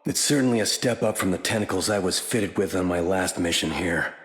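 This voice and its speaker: A man's voice: deep voice, fairly quick, fairly bright tone, big-room sound. A man voicing a wry, battle-worn soldier hero with a metal arm in a video game, delivering dry quips.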